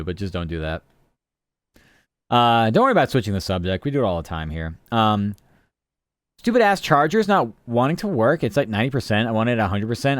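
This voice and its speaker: A man's voice: mid-range voice, no room sound, studio quality. A man streaming live, chatting casually and rambling from topic to topic while answering his chat.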